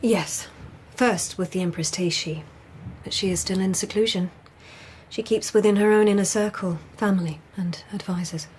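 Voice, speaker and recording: An actress playing a sensible, soothing court lady in a naturalistic radio drama, matter-of-fact and gently dry. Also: mid-range voice, steady tone, moderate pace, slight background noise, close to an everyday voice.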